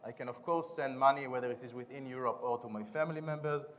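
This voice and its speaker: A man from Israel with an Israeli accent, giving a quick, businesslike conference pitch and product demo as a startup founder, with some restarts. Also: steady tone, a bit bright, slight background noise. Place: conference stage.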